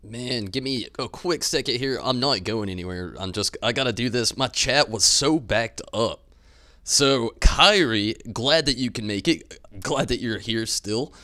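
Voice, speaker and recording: A man's voice: mid-range voice, measured pace, sharp tone. A man livestreaming, chatting informally with his audience in a casual, conversational way. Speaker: live streamer. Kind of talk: casual stream chat and commentary.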